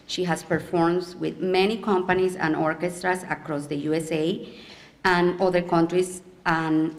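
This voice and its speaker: Low-voiced woman reading artist bios. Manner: reads a prepared bio, steady. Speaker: presenter introducing performers. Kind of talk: reading a formal introduction.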